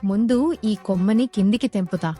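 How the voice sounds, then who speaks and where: A woman's voice: medium pitch, fairly quick, soft background music, lightly acted. A woman voicing a bad-tempered, jealous young village woman in a cartoon story, scolding and sarcastic. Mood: angry, defiant. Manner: scolding, sharp-tongued, sarcastic. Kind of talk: cartoon moral story dialogue.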